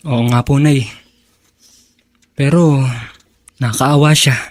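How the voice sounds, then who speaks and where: A man's voice: mid-range voice, fairly even intonation, slow, no room sound, close to an everyday voice. An actor playing a polite, earnest young man in a radio drama, a fond and sorrowful grandson. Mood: subdued, sad. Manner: respectful, earnest.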